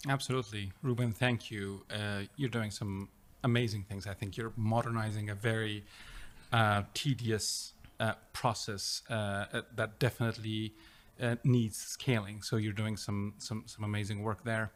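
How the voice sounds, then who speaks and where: Middle-aged man from Afghanistan, low voice, interview guest, halting delivery with lots of ums. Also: flat delivery. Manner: hesitant, many fillers and restarts. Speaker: startup co-founder and CEO, guest. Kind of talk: conversational interview.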